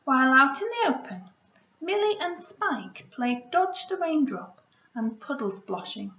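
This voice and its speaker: Teacher lady reading to kids, really expressive high voice, echoey slightly muffled room. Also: unhurried. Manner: expressive, with hushed asides to the listeners. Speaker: primary school teacher. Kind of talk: storybook read-aloud for children. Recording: roomy echo, slightly dull sound.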